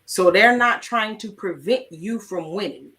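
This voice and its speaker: A woman's voice: medium pitch, measured pace, bright, forceful tone, far from the mic. A woman hosting a live talk show, giving emphatic numbered tips in a teaching tone. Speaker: host. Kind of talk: motivational live-stream talk.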